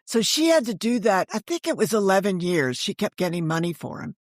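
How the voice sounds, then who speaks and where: An older woman tells publishing-style anecdotes as an interview guest. Her medium-pitched voice is recorded dry, and she frames her storytelling with rhetorical questions and quoted dialogue. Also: very animated, a little fast, dry recording. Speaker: guest, author and former book editor. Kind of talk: animated storytelling in a podcast interview.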